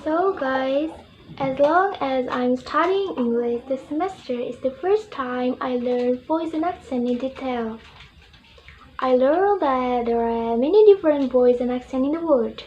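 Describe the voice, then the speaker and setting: A young woman with an Indonesian accent, a university student giving a prepared spoken reflection in English, slow and careful, calling her listeners "guys". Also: high voice, darkish tone, dull audio, slight background noise. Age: young adult.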